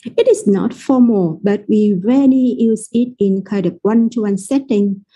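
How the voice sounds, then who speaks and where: A woman's voice: mid-range voice, unhurried, dark tone, breathy edge, low-quality recording. A woman with a Vietnamese accent, a native Vietnamese speaker, teaching the language in English with careful explanations. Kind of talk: explaining pronunciation in a lesson.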